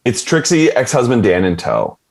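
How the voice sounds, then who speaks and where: A man's voice: mid-range voice, huge pitch swings, moderate pace, gravelly, a little mellow. A man reading stage directions as scripted narration for a dramatic table read.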